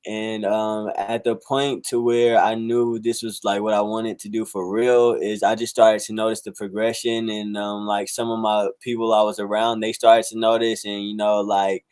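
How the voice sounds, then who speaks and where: Laid-back low-voiced man in his twenties. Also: flat delivery, moderate pace, hardly any pauses, darkish tone, clean tone, dry recording. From South Carolina. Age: 20s. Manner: laid-back, many fillers like "um", "like" and "bro". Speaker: musician guest. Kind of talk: casual interview chat.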